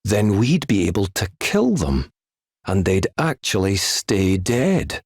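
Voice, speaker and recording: Earnest Scottish wizard, low rough-edged voice, folksy measured explaining, weary, video game. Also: male voice, big pitch swings, dry recording, clean studio sound, full character voice. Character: earnest, weary male wizard, homely mentor figure. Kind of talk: video game cutscene acting.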